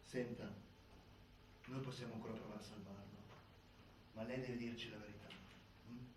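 Actor as a curt prison officer, heavily echoing. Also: male voice, lowish voice, fairly even intonation, moderate pace, natural voice. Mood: pressing. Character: cool, pressing male deputy prison commander. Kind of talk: stage drama dialogue. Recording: very echoey theatre, distant, faint noise.

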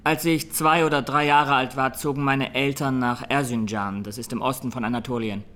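Actor as grave young survivor in the dock. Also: male voice, medium pitch, steady tone, moderate pace, sharp tone, close to an everyday voice. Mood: subdued, matter-of-fact. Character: young Armenian defendant, haunted massacre survivor. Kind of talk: radio drama courtroom testimony.

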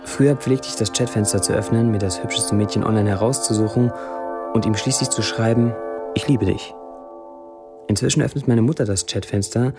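An actor playing a sardonic Syrian blacksmith who writes Facebook posts, delivered as a literary monologue in a radio play. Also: male voice, lowish voice, brisk, loud music behind the voice, close to an everyday voice. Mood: wry.

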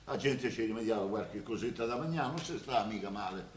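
An actor in a radio play voicing a dazed, plain-spoken man with a Roman accent who tells his story haltingly. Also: fairly low pitch, animated, crowd noise, lightly acted. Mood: plain recounting. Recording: echoey, faintly noisy room recording.

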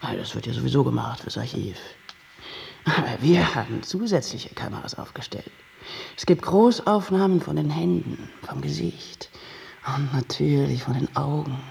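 An actor playing a pushy, grandiose and excitable TV producer in a German radio play. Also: male voice, moderate pace, gravelly. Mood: grandiose pitching. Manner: grandiose sales-pitch talk, emphatic. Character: slick sensationalist TV producer, pushy, easily panicked man.

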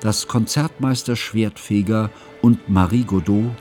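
Elderly scholarly male narrator, actor, low register, reflective and formal, literary radio play over faint music. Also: unhurried, a little mellow, close to an everyday voice. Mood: weary, melancholy. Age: older. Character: sad, elderly scholarly man recounting a friend's tragedy.

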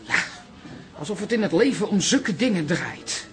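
A lively female voice with wide swings of pitch, acted for radio. She plays a chatty bar regular in a drama, nosy but caring, coaxing others to talk. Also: moderate pace, natural voice. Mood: upset. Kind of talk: Dutch radio play acting.

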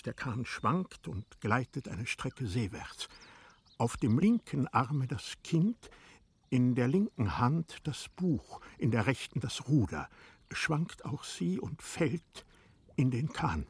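A man with a husky voice narrating a literary radio play in a dramatic storytelling style. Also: medium pitch.

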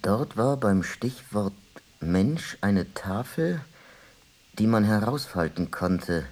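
An actor playing a reflective man who recalls his childhood in a halting, musing way in a radio play. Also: on the low side, expressive, a little mellow. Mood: hushed, hesitant. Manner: halting, musing, self-correcting. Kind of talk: radio play monologue, reminiscing.

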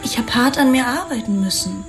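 Secretive rich girl lover in a radio drama, highish voice, confiding, music behind. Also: animated, fairly quick. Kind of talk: intimate radio drama dialogue.

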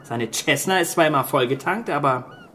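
A man's voice: a little high, normal speed, bright, forceful tone, slight background noise. A man playing a pragmatic survivor in a radio drama, with a dry, matter-of-fact delivery. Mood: sober. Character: pragmatic, well-informed island survivor. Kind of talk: naturalistic German radio drama acting.

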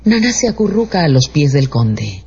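A man's voice: a little high, big pitch swings, moderate pace, a little mellow, husky. A man narrating a radio dramatization in a scripted storytelling style. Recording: slightly dull sound with music underneath.

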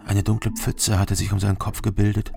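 An actor playing a terse, world-weary investigator who narrates a crime radio drama in short, clipped phrases. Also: male voice, lowish voice, monotone, a little fast, creaky. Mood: grim, terse. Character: terse, world-weary male investigator recounting his case. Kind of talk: scripted first-person crime narration. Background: music.

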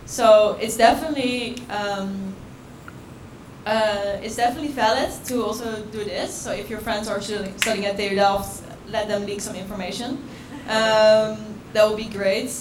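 A woman's voice: medium pitch, steady tone, moderate pace. A woman on a panel answering in a friendly, upbeat way, laughing between points. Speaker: panel speaker. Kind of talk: panel talk. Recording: echoey room with clear background noise.

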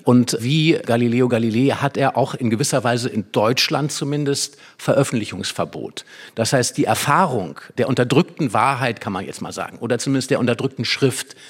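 A man's voice: mid-range voice, breathy edge. A man speaking as an expert guest in a conversation about literature, explaining in long, winding sentences. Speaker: expert guest discussing a play.

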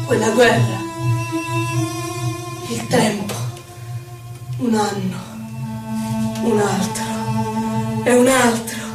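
A woman's voice: on the high side, fairly even intonation. Echoey woman solemnly reciting poetry. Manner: solemn, declamatory, measured. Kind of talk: theatrical poetry recitation with music. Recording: echoey, distant stage recording with music. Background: prominent violin music.

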